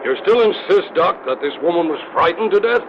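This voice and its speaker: Highish-voiced, skeptical police lieutenant. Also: male voice, animated, fairly quick. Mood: probing, firm. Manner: authoritative, dismissive. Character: brisk, skeptical American police lieutenant in charge. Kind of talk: 1930s radio drama acting. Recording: dull, noisy old radio broadcast recording.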